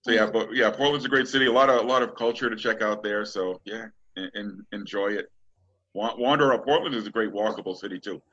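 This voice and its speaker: A man's voice: fairly low pitch, a little fast, fairly bright tone. A middle-aged man from Massachusetts hosting a podcast in a rambling, enthusiastic, casual way. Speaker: podcast host. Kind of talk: casual chat. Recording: dull, lo-fi audio.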